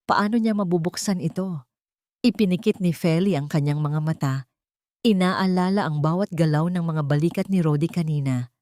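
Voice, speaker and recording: A woman's voice: on the low side, moderate pace, darkish tone, dry recording, clean studio sound. A woman reading a scripted dramatic story as its narrator.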